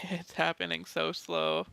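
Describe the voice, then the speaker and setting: A man's voice: on the high side, monotone, slow pace, vocal fry, sharp tone, clear, resonant tone. A man giving casual let's play commentary, thinking aloud and reading bits of game text, with some mild swearing.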